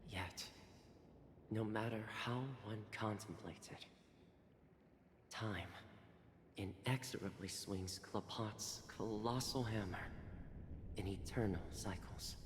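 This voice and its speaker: Grandiose storyteller in echoing reverb. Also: male voice, fairly low pitch. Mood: grandiose, rising. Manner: solemn, florid, dramatic pauses. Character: grandiose, silky male narrator of cosmic legend. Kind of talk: theatrical scripted storytelling narration. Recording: long echoing reverb, background noise.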